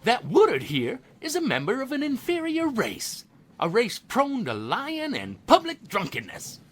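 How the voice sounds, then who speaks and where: A man playing a pompous, bigoted Southern defense attorney with a husky voice, orating in a courtroom audio drama. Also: very high-pitched, huge pitch swings, a little slow, a bit bright, exaggerated voice. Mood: sneering, insinuating. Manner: pompous, rhetorical, goading.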